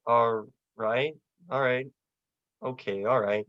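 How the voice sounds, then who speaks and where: A young performer playing a despairing, sardonic family man in a radio play, with halting, dry delivery. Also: medium pitch, fairly even intonation, close to an everyday voice. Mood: baffled. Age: teens or young adult. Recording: dull, lo-fi video call audio.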